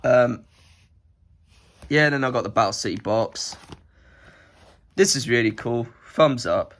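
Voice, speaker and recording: A young man from the UK talks in a loose, rambling way, his voice stuffed and nasal from a cold. He sits at a middle pitch and keeps stringing thoughts together with filler words as he shows off his collection. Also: animated, slow pace, fairly bright tone. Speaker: card collector vlogger. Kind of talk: casual rambling show-and-tell.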